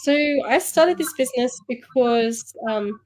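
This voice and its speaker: A woman in her fifties with an Australian accent, a grandmother telling her story as an interview guest, steady with occasional fillers. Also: a little high, a little slow. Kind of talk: conversational storytelling.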